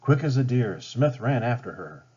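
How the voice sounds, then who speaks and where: A man's voice: mid-range voice, lively intonation, moderate pace, darkish tone, slightly rough. A man reading a children's story aloud as a storybook narrator. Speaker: storybook reader. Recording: dull lo-fi home recording.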